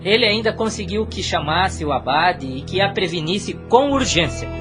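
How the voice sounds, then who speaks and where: A man's voice: high-pitched, very animated, moderate pace, music in the background. A man playing a grave church messenger with bad news in a formal audio drama. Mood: grave, urgent.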